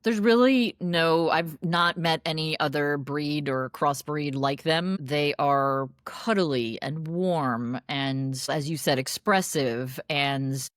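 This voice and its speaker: A woman guest in a podcast interview, upbeat and expressive, with frequent restarts and laughs. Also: sits low, slow pace, hardly any pauses, dry recording, clean studio sound. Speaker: guest, dog meetup organizer. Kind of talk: casual interview chat.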